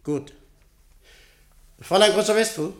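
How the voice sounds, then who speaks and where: An actor playing a cold, domineering director in a German radio play, curt and commanding. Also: male voice, high voice, a little slow, fairly bright tone. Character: domineering, cold male director, powerful businessman, scheming.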